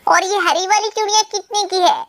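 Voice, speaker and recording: A woman's voice: very high voice, steady tone, brisk, fairly bright tone. A woman voicing a nosy, haggling female crow in a children's cartoon, full of exclamations. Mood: haggling, indignant. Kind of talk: Hindi children's cartoon dialogue.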